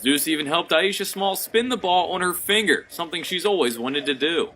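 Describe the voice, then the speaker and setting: Brief: young male sports reporter, raised pitch, reading scripted highlight packages and reports. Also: lively intonation, a little fast. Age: young adult. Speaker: student sports reporter and anchor.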